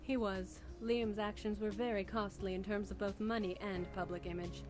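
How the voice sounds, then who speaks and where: A woman played by an actress gives measured, formal answers in a visual novel. She sounds composed and precise, at a middling pitch, with music playing behind her. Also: little pitch movement, lightly acted.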